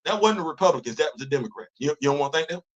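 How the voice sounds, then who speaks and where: A man in his fifties holds forth on a casual livestream, animated and preachy, circling back over his words with restarts and repetitions. His voice sits a bit high for a man, and he speaks into a phone. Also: very fast, dull audio. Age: 50s. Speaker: host of a commentary livestream.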